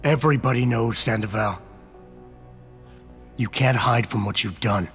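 An actor playing a tough, blunt cyborg agent in a video game, speaking in a terse, stern, controlled way. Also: male voice, mid-range voice, a little slow, soft background music, lightly acted. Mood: cold accusing. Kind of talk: video game cutscene acting.

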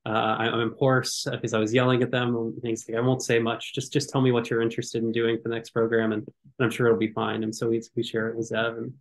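A young man, a curatorial fellow, talking on a video call through a headset, animated and full of restarts. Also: medium pitch, fast, vocal fry. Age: young adult. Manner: animated, gesturing, many repeated false starts. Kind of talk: panel conversation on a video call.

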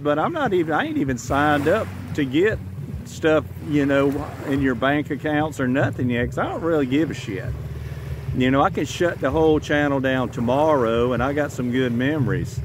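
Southern man, 50s, plainspoken vlogger, profane and rambling, phone mic outdoors with clear ambient noise. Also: on the high side. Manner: blunt, profane, rambling with many "you know"s. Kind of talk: casual vlog monologue. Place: outdoors on a tropical beach. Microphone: phone camera at arm's length.

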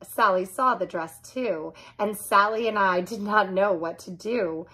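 A woman in her thirties reading a children's story aloud in an expressive narrating style. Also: mid-range voice, measured pace, slightly rough. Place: small furnished room indoors.

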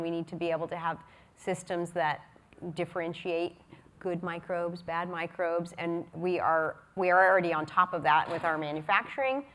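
A woman panelist speaks smoothly and upbeat at a conference session. Her voice is very low for a woman. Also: a bit bright.

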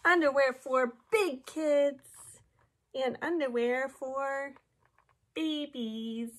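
A woman in her thirties, a teacher reading a picture book aloud to children with animated, exaggerated delivery and drawn-out words. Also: sits high. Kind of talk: expressive children's storybook read-aloud. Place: small indoor room with classroom displays.

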